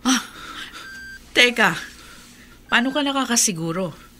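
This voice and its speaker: Bright-voiced Filipino woman officer. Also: a little high, animated, unhurried, exaggerated voice. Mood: businesslike, doubtful. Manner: questioning, businesslike. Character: official female police officer, questioning, cautious. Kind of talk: Filipino horror radio drama acting.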